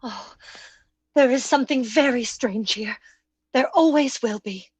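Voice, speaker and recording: Woman playing a high-voiced, gentle, anxious sister. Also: measured pace, breathy edge, no echo. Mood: ominous. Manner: earnest, pleading, theatrical. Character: gentle, grieving, fearful sister who believes in spirits. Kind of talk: old-time radio drama acting.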